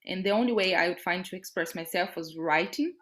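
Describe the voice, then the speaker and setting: A Brazilian-accented woman talks in a slightly low voice, as a guest in a conversational interview. She is a native Portuguese speaker who reflects as she goes, often repeating a word or pausing while she finds the next one. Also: fairly bright tone. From Brazil, born in Salvador and raised in São Paulo. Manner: halting, searching for words with repetitions. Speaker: dance artist being interviewed. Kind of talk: reflective conversational interview about own life.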